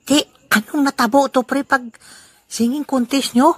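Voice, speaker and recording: A woman's voice: high-pitched, steady tone, natural voice. A woman voicing a boastful, joking old grandfather who is poor but proud, speaking in a teasing way in an amateur audio drama. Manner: teasing, laughing, slow deliberate delivery. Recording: noisy amateur recording.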